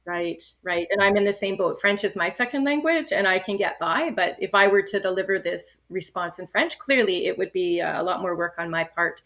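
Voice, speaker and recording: Woman, test-prep coach, calm explanatory delivery, mid-range voice. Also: mid-range voice, brisk, few pauses. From Canada. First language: English. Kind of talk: instructional podcast talk.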